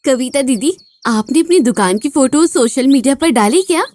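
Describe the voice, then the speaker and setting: A woman's voice: high-pitched, lively intonation, moderate pace, darkish tone, a little hiss or hum. A woman playing an eager, helpful young neighbour in a moral-story cartoon, talking encouragingly.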